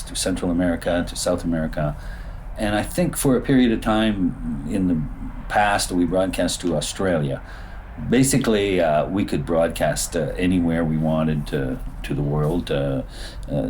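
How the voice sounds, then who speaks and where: A man's voice: a bass voice, a little mellow, a little hiss or hum. A man explaining broadcast operations in an interview, speaking as a station staffer. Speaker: former shortwave station staffer.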